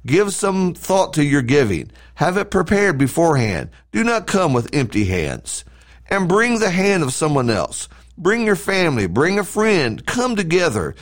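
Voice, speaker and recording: A man reading a scripted devotional in a sermon-like style, speaking earnestly and deliberately as a podcast host and preacher. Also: a little high.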